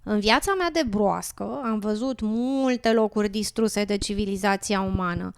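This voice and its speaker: A woman's voice: mid-range voice, creaky, clear, resonant tone, close to an everyday voice. A woman playing a wise, earnest frog with a persuasive manner, in an educational radio drama. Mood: sad, solemn. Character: wise, earnest older frog pleading for nature.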